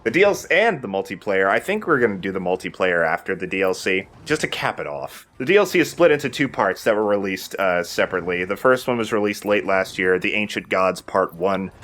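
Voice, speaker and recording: A man's voice: low-pitched, expressive, a little fast, few pauses, fairly bright tone. A man giving casual, rambling and opinionated video game commentary as the host of a let's play.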